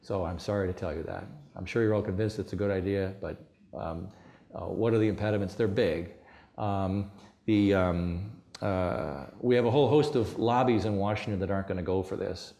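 A man's voice: sits low, normal speed, gravelly, warm tone. A man giving an academic talk to an audience, hesitant, with many fillers and restarts. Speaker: lecturer. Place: lecture room.

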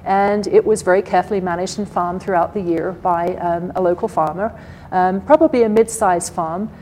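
A soft-spoken woman with an English accent giving an academic lecture at a podium, measured and full of fillers. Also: lowish voice, slight background noise, low-quality recording. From England. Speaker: guest lecturer. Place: lecture hall.

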